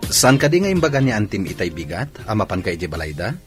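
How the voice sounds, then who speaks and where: A man playing an eager, nosy young man with an Ilocano accent in a radio drama, animated and persuasive. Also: fairly low pitch, quick pace, over music. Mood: nudging.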